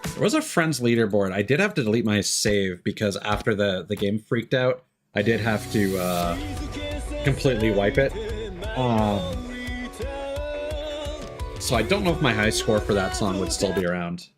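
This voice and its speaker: Man, game streamer, low voice, animated intonation, casual musing commentary, faint music behind. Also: normal speed. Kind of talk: casual live gameplay commentary.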